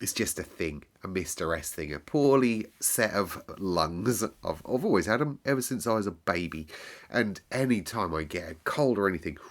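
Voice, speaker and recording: A man's voice: sits low, lively intonation, moderate pace. A man hosting story time in casual, playful chat, a little breathless with a chesty cold. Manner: chatty, self-deprecating, playful repetition. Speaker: storyteller and channel host.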